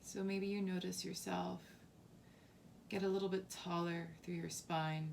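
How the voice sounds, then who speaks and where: A woman leading a guided body scan meditation as a teacher, softly and calmly, with unhurried phrasing and long pauses. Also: on the low side, vocal fry, slight background noise. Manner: soft, unhurried, calming instruction with long pauses.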